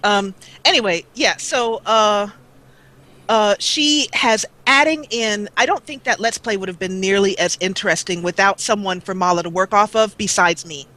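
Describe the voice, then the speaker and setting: A woman guest on a live call-in interview, talking about her gaming videos in an animated, rambling way with frequent laughter. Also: mid-range voice, normal speed. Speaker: guest, let's player. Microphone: call-in line.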